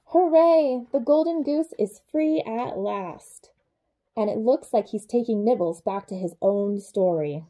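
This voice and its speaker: A young adult woman reading a children's picture book aloud in an expressive way, speaking to young children. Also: fairly high pitch, unhurried. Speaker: childcare supervisor reading a bedtime story.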